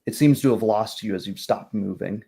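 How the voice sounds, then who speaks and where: Guy running a tabletop rpg as game master, lowish voice, wordy descriptive narration. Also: brisk, vocal fry, mellow.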